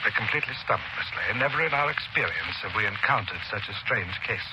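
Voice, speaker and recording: An actor playing a grave, frank hospital doctor in a 1930s radio drama, formal and plain-spoken. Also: male voice, fairly low pitch, expressive, quick pace, lightly acted. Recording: very noisy 1930s radio recording.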